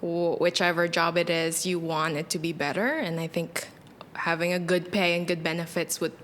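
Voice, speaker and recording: A woman's voice: on the low side, level tone, moderate pace, a bit bright, in a large hall, slight background noise. A young woman, a guest on a podcast, answering casually in short, matter-of-fact phrases. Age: young adult.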